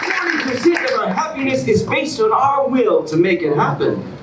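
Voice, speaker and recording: A man playing an excitable, self-satisfied TV contest host with grandiose, emphatic announcing. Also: very high voice, moderate pace, a faint crowd, full character voice. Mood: showy, upbeat. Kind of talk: theatrical game show presenting in a staged reading. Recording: distant echoey stage recording, clear noise.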